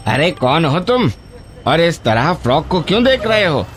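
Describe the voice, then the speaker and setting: An actor playing a haughty, suspicious shop owner in an animated moral story, brusque and scornful. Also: male voice, fairly high pitch, normal speed, soft background music.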